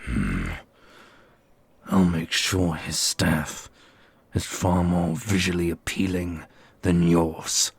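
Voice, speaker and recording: A man playing a brooding, scornful supernatural warrior in an ASMR roleplay, speaking formally in a husky, breathy voice. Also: deep voice, slow pace, no echo, heavily acted. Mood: dry, needled.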